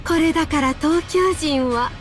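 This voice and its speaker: An actress playing an arrogant, scornful aunt from an old wealthy family in a visual novel. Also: female voice, high-pitched, brisk, soft background music, lightly acted. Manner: arrogant, sneering, drawling.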